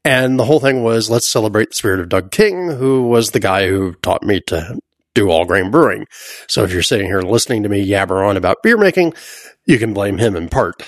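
A man's voice: on the low side, expressive, a little fast, dry recording, clean studio sound. A man hosting a podcast in a casual, chatty, conversational interview style. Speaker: podcast host.

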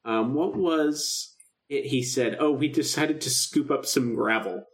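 A man's voice: mid-range voice, unhurried. A man co-hosting a podcast in a casual chat, talking informally and animatedly as he acts out bits of dialogue. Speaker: podcast co-host. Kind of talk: casual chat about a film radio drama.

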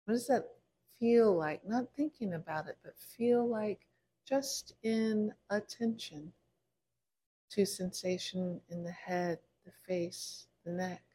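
A woman meditation teacher leading a guided body scan in a gentle, unhurried way, pausing between short phrases. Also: medium pitch.

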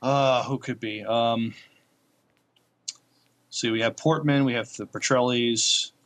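A man co-hosting a podcast, chatting casually about shows in a hesitant, halting way. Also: medium pitch, fairly even intonation, fairly bright tone. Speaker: podcast co-host. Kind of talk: casual chat reviewing TV shows.

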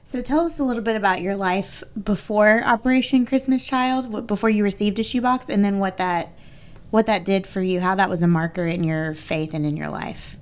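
A woman hosting a podcast, asking questions in a gentle, measured way. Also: medium pitch, steady tone. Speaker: podcast host. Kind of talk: podcast interviewing.

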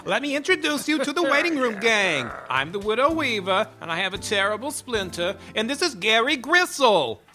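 A woman's voice: on the high side, sing-song, normal speed, hardly any pauses, sharp tone, soft background music. A woman playing a chatty, melodramatic widow of a Halloween town in an audio comedy, with a gushing, dramatic manner. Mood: chatty, concerned.

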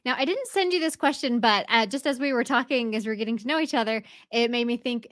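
A woman's voice: fairly high pitch, animated, fast talker, fairly bright tone, dry recording. Woman host, dry close sound. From United States. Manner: friendly, light laughter. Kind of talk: casual interview chat.

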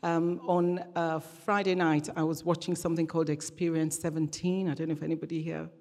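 A woman's voice: low voice, flat delivery, fairly quick, a little mellow. A middle-aged woman speaking as a guest preacher, conversational and hesitant in phrasing, with a light touch. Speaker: guest preacher, regional church minister. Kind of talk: conversational church sermon and interview. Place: large church hall, live event. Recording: echoey hall with long reverb.

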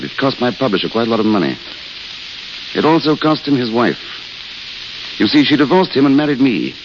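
An actor playing a weary, courteous failed writer who mocks himself wistfully, in old radio drama style. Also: male voice, mid-range voice, natural voice. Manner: courteous, wistful, self-deprecating. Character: weary, self-mocking failed writer, a wandering intellectual. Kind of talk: 1930s-40s radio drama acting. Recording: hissy, crackly, muffled old radio recording.

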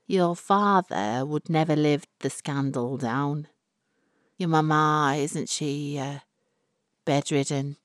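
A woman voicing a cold, scheming older matriarch who speaks with measured, genteel condescension in a story reading. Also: deep voice, no room sound, clean studio sound. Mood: smug threat.

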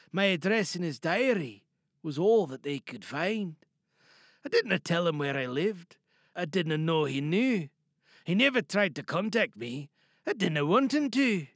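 A man's voice: sits high, dry recording, studio quality, exaggerated voice. A man playing a shy, hurt student reading aloud to a class in an audio monologue, hesitant and apologetic, holding anger under strained politeness.

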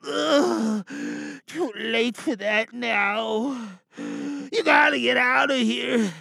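A man playing a dying, infected space commander, gruff and desperate, barking strained orders with a rasping voice in a sci-fi audio drama monologue. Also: extremely high pitch, extremely slow, sharp tone, no room sound, clean studio sound, voice pushed up, full character voice. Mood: desperate, commanding. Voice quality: gravelly, rasping.

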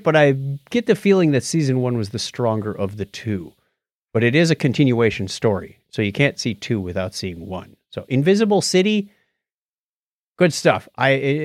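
Animated man around sixty, chatting casually. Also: medium pitch, no echo, clean studio sound. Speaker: podcast co-host. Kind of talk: casual review chat.

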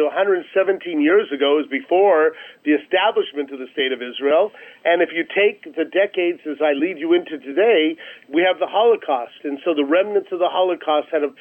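A man being interviewed as an organization's leader, speaking emphatically and persuasively with rhetorical questions and repetition. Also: fairly high pitch. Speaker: interview guest, nonprofit chief executive. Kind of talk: radio interview, persuasive storytelling. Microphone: telephone. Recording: tinny, narrow-band telephone line.

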